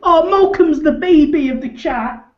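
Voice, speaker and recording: A man's voice: extremely high pitch, measured pace. A man of about thirty, chatting casually on an online panel. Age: around 30. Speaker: online chat show panellist. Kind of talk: casual chat. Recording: dull roomy noisy online call with clicks.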